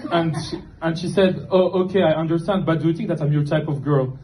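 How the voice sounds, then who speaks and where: A young man from Morocco, doing a self-deprecating stand-up set in a comedy club, deadpan and halting with fillers. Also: a little high. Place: comedy club with live audience. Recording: noisy club recording. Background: audience laughter.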